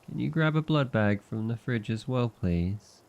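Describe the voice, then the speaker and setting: A man with an Australian accent playing an affectionate, drowsy vampire husband in an intimate ASMR roleplay, murmuring softly and tenderly. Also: animated, a little slow. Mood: content, tender. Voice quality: low, gravelly, husky. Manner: languid, soft, tender murmuring.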